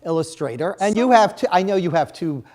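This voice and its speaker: A woman's voice: lowish voice, animated, fairly quick, a little mellow. A woman interviewing a children's book author, asking short, friendly questions to keep him talking.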